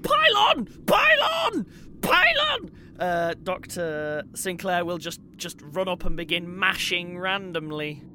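A woman's voice: lowish voice, sing-song, takes it slowly, sharp tone, slight background noise. A woman playing a tabletop roleplaying game, improvising in-character questions and banter.

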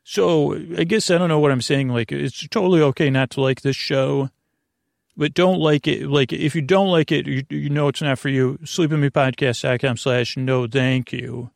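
A man hosting a bedtime podcast in a creaky voice, meandering hesitantly and often repeating and correcting himself. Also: medium pitch, steady tone, normal speed, no echo, studio quality. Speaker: podcast host. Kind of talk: rambling bedtime sleep podcast monologue.

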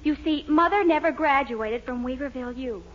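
A woman's voice: high-pitched, moderate pace. A woman playing an earnest, easily exasperated young fiancée in 1940s radio comedy style. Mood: earnest, confiding. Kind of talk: 1940s radio sitcom acting. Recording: muffled, hissy 1940s radio recording.

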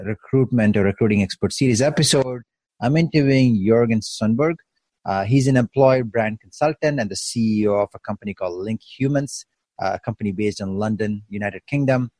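A man hosting an interview podcast, polite and halting with many fillers. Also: medium pitch, a little mellow, dry recording. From Canada. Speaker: podcast host.

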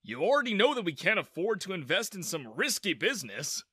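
Man playing stern, exasperated father, forceful delivery. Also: high voice, sing-song, a little fast, breathy edge, no room sound, studio quality, pitched above the performer's own voice, full character voice. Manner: stern, scolding. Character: stern, worried father scolding his son.

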